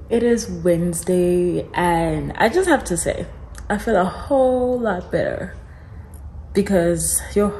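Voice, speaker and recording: A young woman talks casually as a student vlogger, in a slightly low voice at a slow pace. She rambles informally and repeats herself for emphasis, with noticeable noise behind her. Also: mellow. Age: young adult. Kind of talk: casual vlog chat.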